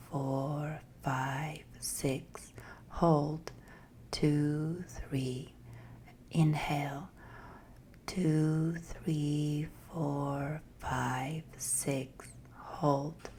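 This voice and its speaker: A woman guiding a meditation in a soft, breathy, half-whispered voice, gentle and soothing with long pauses. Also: low voice, slight background noise. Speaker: meditation guide. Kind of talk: guided meditation, slow scripted narration.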